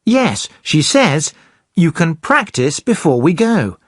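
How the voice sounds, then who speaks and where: A presenter explaining English grammar slowly and clearly for beginners. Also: mid-range voice, very animated, no echo, clean studio sound. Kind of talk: scripted teaching narration.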